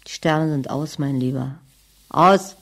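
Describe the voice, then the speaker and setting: A middle-aged woman playing a bitter, scornful, nagging wife in a radio drama, with a raspy voice. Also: low-pitched, takes it slowly, heavily acted.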